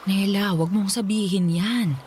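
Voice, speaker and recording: A woman playing a caring, devout aunt in a radio drama, asking and coaxing gently. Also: medium pitch, a little slow, a little hiss or hum, natural voice. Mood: gently persuading. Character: concerned, devout aunt of a sick young woman. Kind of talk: Filipino radio drama dialogue.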